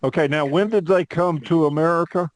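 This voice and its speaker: A man's voice: on the high side, fairly quick, dry recording. A middle-aged man hosting a podcast, friendly and chatty, with frequent uhs as he talks. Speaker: podcast host.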